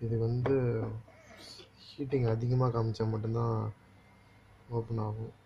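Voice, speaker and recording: A man's voice: mid-range voice, little pitch movement, measured pace. A man giving a casual, hands-on repair tutorial, instructing step by step and often checking that the listener follows. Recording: dull lo-fi audio with faint noise.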